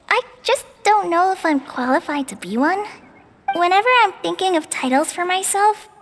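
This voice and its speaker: A woman's voice: extremely high pitch, long reverb tail. A woman voicing a shy, modest young ranger who talks hesitantly and doubts herself, in a game cutscene. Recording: game audio under loud music.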